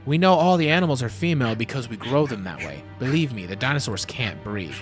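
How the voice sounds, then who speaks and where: A man's voice: mid-range voice, expressive, fast, lightly acted. A man playing a proud, self-assured geneticist explaining his lab to visitors in a fan audio drama. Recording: music under the voice.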